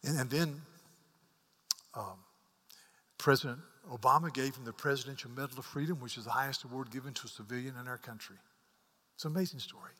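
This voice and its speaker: A man's voice: medium pitch, brisk, pauses often. Earnest preacher man in a reverberant hall. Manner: earnest, repeats words, rises to emphatic peaks and drops to hushed asides. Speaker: pastor. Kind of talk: sermon.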